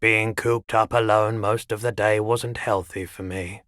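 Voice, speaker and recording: A weary, haggard man who keeps a pawnshop for a video game. His voice sits on the low side and moves very slowly. He is measured and resigned, and the recording is dry and close. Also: steady tone, fairly bright tone, no echo. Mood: subdued, confiding. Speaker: shopkeeper. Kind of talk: video game character dialogue.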